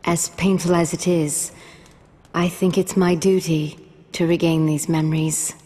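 A dignified, ageless game heroine. Her voice is low and mellow, and she talks slowly with solemn, formal reflection. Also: little pitch movement, slightly rough. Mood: solemn, resolute. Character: solemn, dignified ageless woman with a thousand years of memories. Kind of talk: video game cutscene acting.

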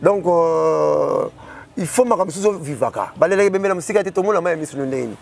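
A man's voice: on the high side, vocal fry, a little mellow, slight background noise. A man in his thirties with a Congolese accent, an interview guest talking animatedly outdoors on a lapel mic. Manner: gestures constantly, rapid and emphatic. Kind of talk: animated chat mixing French and Lingala. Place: outdoors beside a parked car.

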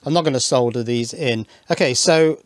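Male electronics hobbyist, medium pitch, casual and musing, thinks aloud with muttered self-questioning asides. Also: moderate pace, background noise. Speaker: electronics hobbyist video maker. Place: indoors at a workbench.

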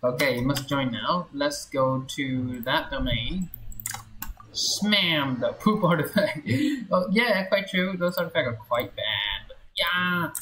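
A woman's voice: sits low, animated, slow pace, a bit bright. A woman game streamer chatting casually and playfully with viewers while playing on a livestream. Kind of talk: casual livestream gameplay chat. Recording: roomy echo, faint background noise.

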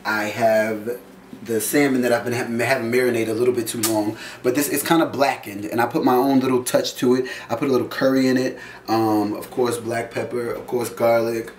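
A man's voice: mid-range voice, fairly even intonation, fairly quick. A man in his thirties hosting a casual home cooking show, joking and swearing loosely as he cooks. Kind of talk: casual cooking demo with banter. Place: small home kitchen. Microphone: camera mic from across the room. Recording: echoey kitchen, distant mic, noisy.

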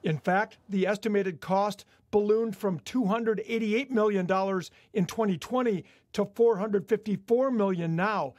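A middle-aged man giving a steady, emphatic live news report outdoors at night with a handheld mic. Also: high-pitched, measured pace, hardly any pauses, dry recording, studio quality. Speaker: chief political reporter. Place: outdoors at night by a government building. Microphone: handheld station mic.

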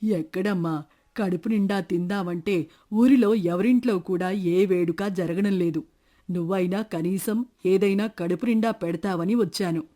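A woman's voice: medium pitch, measured pace, warm tone, close to an everyday voice. A woman voicing a stingy, self-righteous middle-aged village man who wheedles and argues in a moral story. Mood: wheedling. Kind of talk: dramatised moral story narration.

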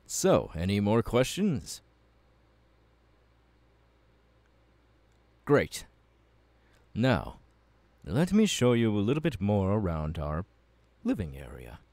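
A man's voice: lowish voice, wildly swooping pitch, takes it slowly, darkish tone, no echo, exaggerated voice. A man playing a passionate, courteous young warrior mentor in an ASMR audio roleplay, speaking in an earnest, formal and encouraging way. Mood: reassuring.

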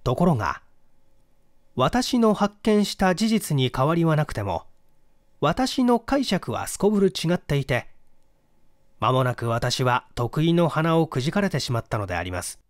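Male audiobook narrator, fairly high voice, expressive and measured literary delivery, dry close-miked sound. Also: no room sound. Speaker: audiobook reader, stage actor. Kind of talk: dramatic scripted narration of a classic detective story.

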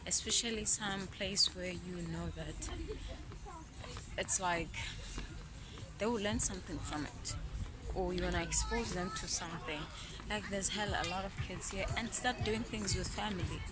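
Young woman vlogging outdoors, lowish voice. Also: normal speed, poor audio. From South Africa. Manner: chatty, addresses viewers directly. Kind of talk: casual vlog narration. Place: outdoor garden market. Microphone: phone held at arm's length.

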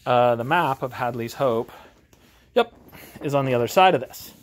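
A man's voice: mid-range voice, animated. Rambling man giving a casual game review. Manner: rambling, halting, self-interrupting. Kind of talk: casual off-the-cuff product walkthrough. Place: home game garage, indoors. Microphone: handheld camera, held by the speaker.

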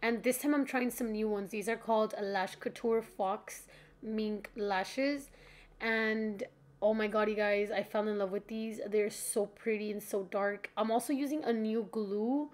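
A woman's voice: mid-range voice, steady tone, unhurried. A young woman giving a casual, chatty makeup tutorial voice-over in an informal, friendly-to-viewers way, sounding slightly stuffed up from allergies. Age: young adult.

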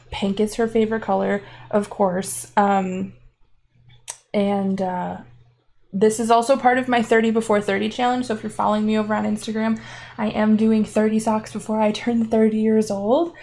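Chatty young woman with a creaky voice. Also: fairly high pitch, a little mellow. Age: 20s. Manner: chatty, laughs often, many fillers. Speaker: knitting podcast host. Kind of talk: casual knitting podcast chat. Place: living room armchair at home.

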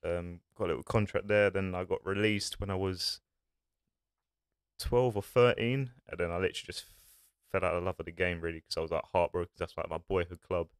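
A young man talks in a low, smooth and resonant voice, relaxed and rambling with casual slang. He sits close to a desk mic in a small treated studio, so the sound is dry with no room echo. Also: brisk. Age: young adult. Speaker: podcast guest. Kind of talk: casual chat. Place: small studio with acoustic foam panels. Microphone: desk-mounted podcast mic.

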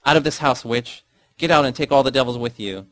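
A man's voice: medium pitch, fairly quick, fairly bright tone, no room sound, pitched above the performer's own voice, lightly acted. A man voicing a fanatical old mother who shrieks religious curses and accusations at her daughter in an audiobook story. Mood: raging.

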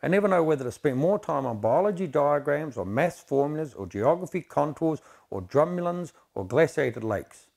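A man's voice: a little high, lively intonation, moderate pace, creaky, few pauses, a little mellow. An Irish man in his fifties giving a dramatic reading from a book. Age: 50s. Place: indoor lounge on sofa.

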